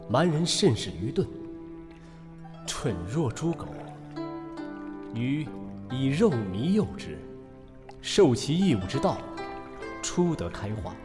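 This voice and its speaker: A man acts out a roguish period traveller in an audio drama, witty and teasing. His delivery is unhurried and theatrical, with wide swings of pitch. Prominent music plays behind him. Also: sing-song. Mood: lofty, declaiming. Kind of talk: Chinese audio drama acting, period dialogue.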